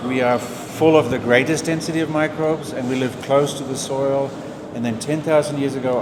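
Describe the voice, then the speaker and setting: New Zealand man guiding through a noisy gallery. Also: mid-range voice. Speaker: exhibition curator, architecture professor. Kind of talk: guided exhibition walkthrough. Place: exhibition gallery, indoors.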